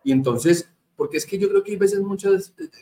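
A man's voice: high-pitched, wildly swooping pitch, normal speed, mellow. A man with a Colombian accent hosting a conversational interview, speaking emphatically and directly to his guest and audience. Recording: noticeable background noise.

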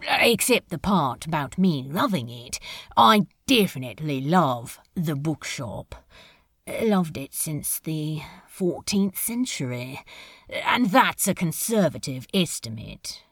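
Casting: laid-back demon man played by a woman, deep and gravelly, casual drawl, secretly sentimental, for audio fiction. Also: big pitch swings, slow pace, fairly bright tone, dry recording, studio quality, exaggerated voice. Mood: fond. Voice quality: low, gravelly, husky. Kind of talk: fan audio drama acting.

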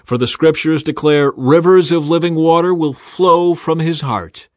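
A man voicing Jesus as a calm, authoritative male teacher who speaks slowly and emphatically. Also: medium pitch, no echo, studio quality, lightly acted. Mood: proclaiming. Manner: earnest, slow and emphatic.

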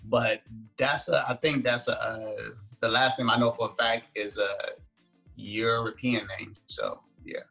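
Man, podcast guest, gravelly low voice, halting interview answers, faint music behind. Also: steady tone, sharp tone, low-quality recording. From Liberia, moved to the United States at five. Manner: halting, searching for words. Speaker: podcast guest, events entrepreneur.

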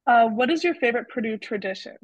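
A young woman co-hosting a student podcast, reading intros and asking quick, friendly questions. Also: fairly high pitch, lively intonation, dry recording.

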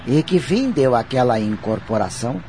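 A man's voice: mid-range voice, animated, moderate pace, mellow, slight background noise, natural voice. An actor playing a cheerful, easygoing older man in conversational radio drama style. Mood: calm, curious. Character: Ferdinando, easygoing older man, cheerful former office colleague.

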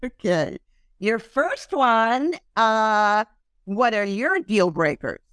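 A woman's voice: a little high, big pitch swings, slow pace, fairly bright tone, clean tone, no echo, clean studio sound. A woman hosting a podcast in casual interview chat, talking loosely with many ums and fillers. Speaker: podcast host.

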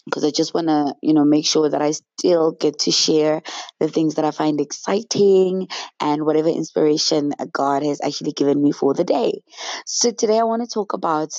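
Woman vlogger, deep voice, under the weather and a little breathless, chatty and upbeat, dry close mic. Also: darkish tone, no room sound, highs cut off. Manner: chatty, upbeat, storytelling with faith references. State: fluey and short of breath. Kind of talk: casual inspirational talk to camera.

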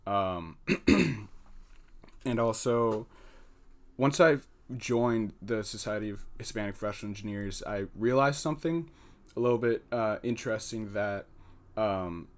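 Young American male student guest, lowish voice, earnest and halting. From United States. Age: young adult. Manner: hesitant, pauses often with fillers, earnest. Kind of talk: podcast interview answer.

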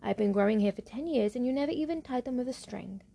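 A woman's voice: on the high side, expressive, fast talker, creaky, warm tone. A woman voicing a wistful talking birch tree in a storybook reading, plaintive in manner.

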